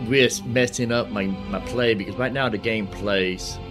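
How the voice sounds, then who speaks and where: A man's voice: lowish voice, measured pace. A man hosting a gaming video, giving casual, rambling commentary as he thinks aloud while playing. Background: game music.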